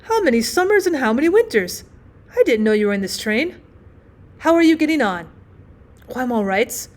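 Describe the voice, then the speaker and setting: Woman putting on a giddy, tipsy, effusive gentleman. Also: high-pitched, sing-song, moderate pace. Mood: flustered, cheerful. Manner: effusive, rambling, exclamatory. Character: tipsy, giddy, fidgety newlywed gentleman, boastfully happy. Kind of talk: acted audiobook storytelling.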